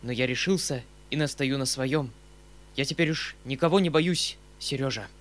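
A man voicing an agitated middle-aged gentleman uncle from an audiobook novel, emphatic and rushed, flaring into commanding outbursts. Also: mid-range voice, natural voice. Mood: tragic, resolute. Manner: emphatic, breathless, rushed exclamations in short bursts.

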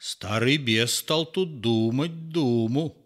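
Мужчина читает сказку, неторопливо. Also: male voice, medium pitch, takes it slowly. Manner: theatrical, lively storytelling. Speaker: narrator. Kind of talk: expressive fairy tale reading.

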